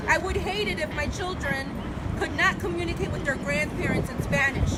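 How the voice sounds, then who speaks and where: A woman reads a prepared statement aloud in an extremely high-pitched voice. She speaks at a press conference amid very loud street noise. A busy crowd is heard around her.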